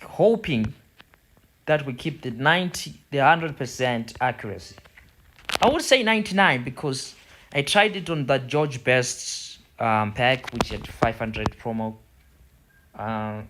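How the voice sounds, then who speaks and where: A man's voice: medium pitch, fairly bright tone, slight background noise. A man from Zimbabwe with a Zimbabwean accent gives a casual gaming tutorial, rambling a little and repeating words as he goes. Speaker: gaming video host. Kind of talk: casual tutorial commentary over football game screen.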